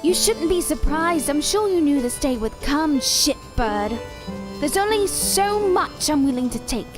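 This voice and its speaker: A woman's voice: high voice, expressive, a little slow. A woman playing a scheming, commanding living doll in an audio drama, sweetly menacing with formal, theatrical phrasing. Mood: defiant. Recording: music under the voice.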